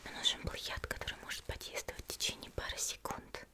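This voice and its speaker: A woman playing a petite, gentle and elegant female demon slayer in a whispered ASMR roleplay, soft, reassuring and unhurried. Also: lightly acted. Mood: calm, confiding.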